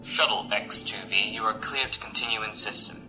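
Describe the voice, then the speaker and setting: An actor playing a stern, officious imperial navy officer with a clipped, authoritative manner in a science fiction audio drama. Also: male voice, lowish voice, normal speed, natural voice, poor audio. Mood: stern, warning. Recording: music behind the voice.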